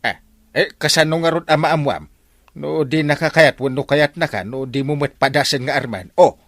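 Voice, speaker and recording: A man's voice: a little high, normal speed, a bit bright, no echo. A man playing a jovial, meddling village captain uncle in an Ilocano radio drama, with an Ilocano accent and a habit of starting phrases with a hesitant "eh". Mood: coaxing. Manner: hesitant, repeated "eh" before phrases.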